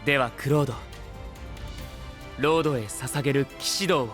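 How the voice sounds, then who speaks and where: An actor playing a formal, confident young knight in a Japanese voice drama, speaking ceremoniously. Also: male voice, medium pitch, moderate pace, a bit bright, faint music behind, natural voice. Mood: solemn.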